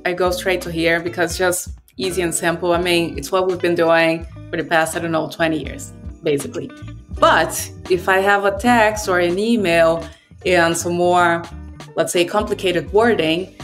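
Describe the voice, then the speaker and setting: A woman's voice: fairly low pitch, moderate pace, faint music behind. A young woman whose first language is Brazilian Portuguese, chatting casually on a video call from home about her work tools. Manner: relaxed, conversational, frequent "like" fillers. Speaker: developer relations guest. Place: home room.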